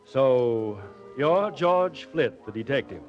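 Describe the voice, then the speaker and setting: A man's voice: medium pitch, expressive, slow pace. A man playing a cool, calculating uncle in a 1940s radio drama, curt and formal. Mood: cool, suspicious. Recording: dull vintage radio recording, music behind.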